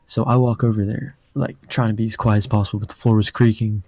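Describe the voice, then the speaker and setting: A young man with a deep voice telling a rambling story on a casual podcast, full of fillers. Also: little pitch movement, fast, vocal fry, warm tone, dry recording. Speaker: podcast host.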